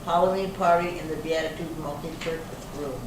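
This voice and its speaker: A woman playing a feisty, indignant older suspect in an amateur readers theater reading, plain-spoken and slightly halting. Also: low voice, fairly even intonation, close to an everyday voice. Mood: unfazed. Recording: very echoey hall, distant mic, noisy.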